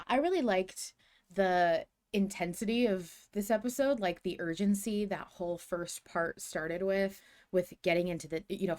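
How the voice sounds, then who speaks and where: A woman co-hosting a podcast, chatting casually in a dry, teasing way. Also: on the low side. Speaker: podcast co-host. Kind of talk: casual chat.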